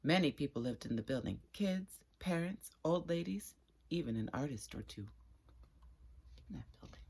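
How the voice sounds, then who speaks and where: A woman with a husky voice reading a children's story aloud and chatting to viewers, with expressive, deliberate phrasing. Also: deep voice.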